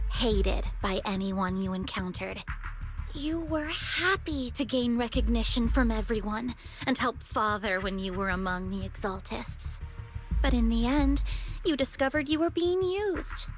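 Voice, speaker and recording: A young woman's voice, fairly high and unhurried, carries grief that has hardened into bitterness. She measures out her accusations slowly and sounds deeply wounded. Soft music plays beneath her. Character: bitter, grieving young woman raised inside a cult. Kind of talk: dramatic video game voice acting.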